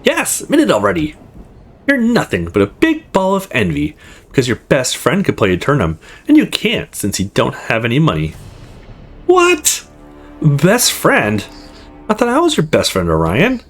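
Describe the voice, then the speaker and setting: A man's voice: fairly high pitch, huge pitch swings, moderate pace, gravelly, soft background music, voice pushed up, exaggerated voice. A man voicing an eager, teasing young woman gamer in a visual novel, playfully insistent. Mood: hurt, insistent.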